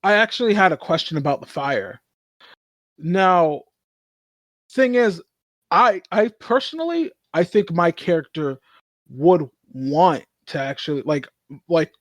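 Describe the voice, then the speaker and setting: Higher-voiced man, hesitant tabletop game player. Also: lively intonation, measured pace, no room sound. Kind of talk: casual game chat.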